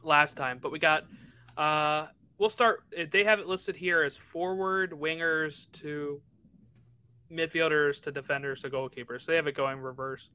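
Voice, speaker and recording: A man co-hosting a casual soccer podcast, somewhat halting and correcting himself as he reads things out. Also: a little high, fairly even intonation, bright, forceful tone, clear, resonant tone. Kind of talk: casual sports chat, reading out a roster.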